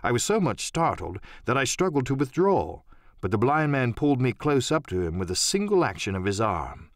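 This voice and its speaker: A man narrating an audiobook as a dramatic storyteller, theatrical and expressive in his delivery. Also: mid-range voice, moderate pace, no room sound, clean studio sound.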